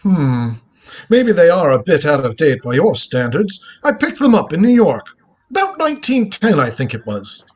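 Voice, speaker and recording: A man plays an eccentric old grandfather in a radio drama. His husky voice leaps widely in pitch. He is curt, imperious and dismissive, a crotchety elder with no patience. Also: big pitch swings, moderate pace. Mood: grumbling. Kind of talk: live script reading of a radio drama.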